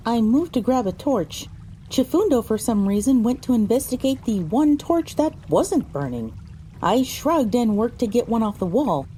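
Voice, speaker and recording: A woman narrates a scripted story in a high, soft-toned, mellow voice. Her reading is lively and varied, with faint noise behind it. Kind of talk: scripted audio drama narration, first person.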